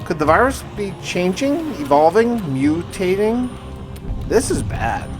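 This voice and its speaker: Higher-pitched man narrating a gaming video. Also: very animated, measured pace, over music. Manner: conversational, journal-like storytelling. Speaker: gaming video creator narrating a survival challenge. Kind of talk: first-person game playthrough narration with light roleplay.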